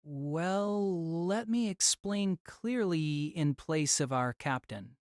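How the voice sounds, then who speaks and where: A woman voicing a composed student who explains things in a measured, polite manner. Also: deep voice, animated, darkish tone, no echo, studio quality. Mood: calm, measured.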